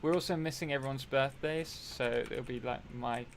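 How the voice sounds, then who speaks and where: A man's voice: mid-range voice, steady tone, moderate pace, vocal fry, a little hiss or hum. A young man hosting a casual live stream while playing a game, rambling and answering chat messages.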